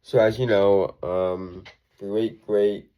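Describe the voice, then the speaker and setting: A man's voice: fairly low pitch, steady tone, slow pace, warm tone, clear, resonant tone, low-quality recording. A young man with a congested voice, rambling through a casual recap full of ums and self-corrections. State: congested from a cold. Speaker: wrestling show reviewer.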